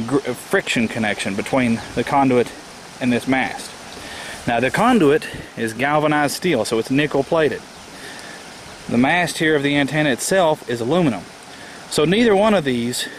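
A man's voice: medium pitch, poor audio. A man in his thirties giving a casual, practical how-to explanation in a folksy way, recorded outdoors on a camera mic. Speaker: amateur radio hobbyist and vlogger. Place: outdoors in a rural yard. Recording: outdoor camera audio, loud background noise. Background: loud outdoor ambient noise.

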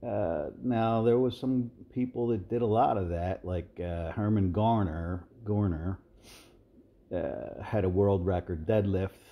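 A man in his sixties giving relaxed, rambling voice-over commentary as a fitness video host, with many fillers and restarts. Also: deep voice. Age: 60s.

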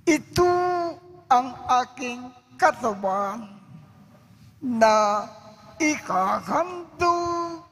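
Very slow, solemn male priest. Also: very high-pitched, animated, fairly bright tone, a little hiss or hum. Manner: solemn, measured, deliberate phrasing. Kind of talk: reciting the Mass liturgy. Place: large church.

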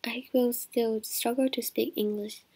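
A young woman from Vietnam with a Vietnamese accent, reading her own life story in a soft, reflective, breathy way. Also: fairly high pitch, fairly even intonation, a little slow. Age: young adult. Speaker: digital story narrator. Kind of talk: scripted personal narration. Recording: voice-over with clear music behind.

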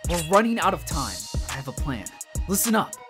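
A woman's voice: lowish voice, very animated, fairly quick. A woman playing an eager, level-headed young rookie superhero in a comic dub, over music. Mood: urgent. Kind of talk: acted comic dub dialogue. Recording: prominent music behind the voice.

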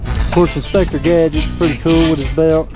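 A man's voice: fairly high pitch, steady tone, moderate pace, music in the background. A man chatting casually as a vlogger, recorded on an action camera outdoors at a market. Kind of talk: casual walk-around vlog chat. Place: open-sided covered flea market and by an open car trunk. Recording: clear background noise, outdoor market.

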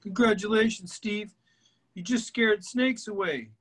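A man reading a teasing bar regular in a table read over a video call, mocking and deadpan. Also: sits high, unhurried.

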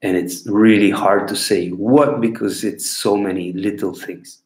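Slow-talking Bulgarian man in an echoey room. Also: on the low side, steady tone, darkish tone. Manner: unhurried, rambling, pauses to search for words. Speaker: clown answering viewer questions. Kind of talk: casual talk to camera. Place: small room at home, indoors. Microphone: laptop webcam at desk. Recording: echoey room on a laptop mic.

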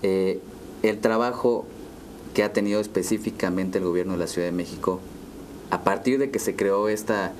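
A man's voice: low voice, moderate pace. A man in his thirties with a Mexican accent, interviewing conversationally in long questions peppered with tag questions. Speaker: interviewer, co-host. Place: dark TV studio, round table. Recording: clear background room noise.

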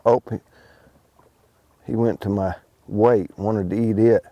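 A man's voice: fairly low pitch, takes it slowly, no echo, highs cut off. Middle-aged man explaining while fishing outdoors. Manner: relaxed, explains as he fishes, many ums and restarts. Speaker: fishing pro. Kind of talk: casual how-to fishing talk. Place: on a fishing boat on an open lake, outdoors.

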